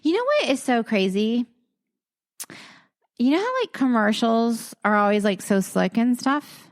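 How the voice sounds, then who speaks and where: A woman co-hosting a relaxed podcast, her voice sitting a little high. She talks in playful, rambling bursts, full of "like" and half-finished thoughts. She grew up in South Carolina. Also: measured pace. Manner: chatty, heavy use of "like", playful. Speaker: podcast co-host. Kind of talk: casual chat.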